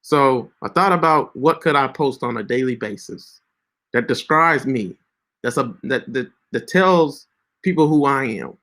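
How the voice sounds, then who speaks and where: A man's voice: mid-range voice, lively intonation, unhurried, fairly bright tone. A man in his late twenties giving casual, preachy social media advice with lots of fillers and restarts. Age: 20s. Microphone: screen-share recording setup.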